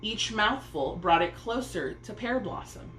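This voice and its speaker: A woman's voice: mid-range voice, lively intonation, measured pace, a bit bright. A woman reading a children's story aloud, narrating expressively in a room with echo and faint noise. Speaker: storybook reader.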